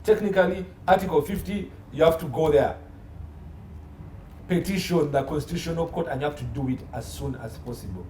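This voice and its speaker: Male legal pundit, forceful rhetorical delivery in interview, recorded in a reverberant room with slight background noise. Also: fairly high pitch, fairly quick. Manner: emphatic, assertive, repeats phrases and asks rhetorical questions. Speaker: guest expert, entertainment law consultant. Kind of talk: opinionated interview commentary. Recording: roomy echo, faint background noise.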